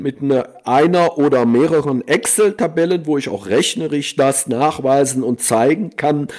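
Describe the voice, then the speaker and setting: Slow, deliberate German-speaking man. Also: medium pitch, darkish tone. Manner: slow, deliberate explanations with fillers. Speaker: guest, online marketing consultant. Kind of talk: explaining in a podcast interview.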